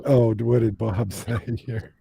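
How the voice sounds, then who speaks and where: A male host with a dark, gravelly voice on a casual paranormal livestream. The sound is dry and close, and he chats loosely with viewers, full of ums and you-knows. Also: medium pitch, little pitch movement, unhurried, no echo. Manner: talks to the chat audience, frequent fillers.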